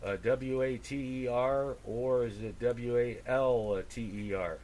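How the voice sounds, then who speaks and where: Male ham operator, mid-pitched voice, halting casual radio chat, some background noise. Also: steady tone. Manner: halting, thinking aloud, spells with phonetic alphabet.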